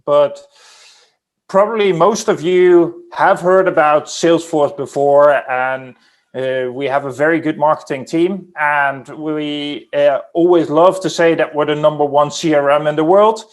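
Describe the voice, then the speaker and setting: A man with a Dutch accent introducing himself on a video call in a measured way, with some "uh"s. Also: fairly high pitch, fairly even intonation. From Netherlands. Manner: measured, with filler "uh"s and small restarts. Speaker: recruiting team lead. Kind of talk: webinar introduction.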